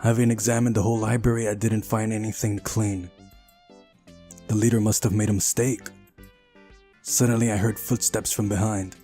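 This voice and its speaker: Guy with a lowish voice reading a visual novel out loud, chatty asides, game music behind. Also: little pitch movement, normal speed, pauses often. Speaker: let's play host. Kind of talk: visual novel read-aloud with casual commentary.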